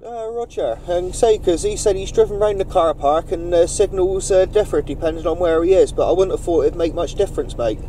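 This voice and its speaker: A man running a CB radio net, chatting informally in radio jargon with halting phrasing. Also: sits high, noisy background.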